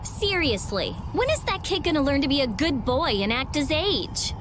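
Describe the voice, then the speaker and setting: A woman's voice: high voice, big pitch swings, moderate pace, noisy background. A woman voicing a brusque, prickly teenage girl scholar who hides her concern, in a video game. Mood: scolding. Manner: brusque, scolding.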